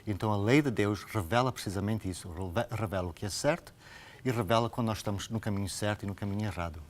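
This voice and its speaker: Low-voiced Angolan male pastor. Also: fast talker. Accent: Angolan Portuguese. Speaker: pastor, programme guest. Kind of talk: Bible teaching in conversation.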